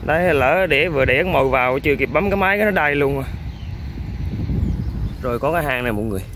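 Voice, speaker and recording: A man with a Vietnamese accent hosting an outdoor eel-fishing vlog by a riverbank. He gives running commentary to viewers while he works, with excited exclamations. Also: fairly high pitch, rapid-fire, noisy background. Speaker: eel-fishing channel host.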